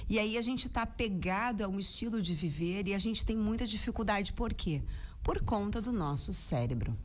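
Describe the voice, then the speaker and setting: A Brazilian woman speaks as a guest in a radio studio while fighting a cold. She talks in a casual, reflective way, often checking in with little questioning tags. Faint noise hangs under her voice. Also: medium pitch. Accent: Brazilian Portuguese. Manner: warm, reflective, frequent "né?" tags. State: has a cold. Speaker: radio guest commentator on happiness.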